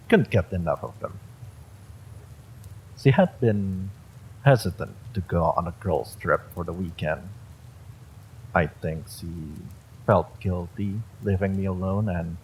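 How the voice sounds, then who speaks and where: A man's voice: low voice, darkish tone, a little hiss or hum, poor audio. A man reading a first-person story aloud as a dramatic narrator. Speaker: story reader.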